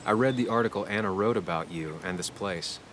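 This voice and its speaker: Game role: male actor as dogged ex-reporter turned sleuth. Low register, blunt, reserved. Clear outdoor noise behind. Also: fairly quick, fairly bright tone, close to an everyday voice. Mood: guarded, probing. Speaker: player-character investigator, former reporter. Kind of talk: video game dialogue and inner monologue.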